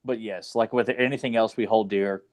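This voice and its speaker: A man's voice: on the low side, fairly even intonation, moderate pace. A man in casual conversation as a podcast co-host, with a crude, sarcastic way of talking. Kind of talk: casual chat.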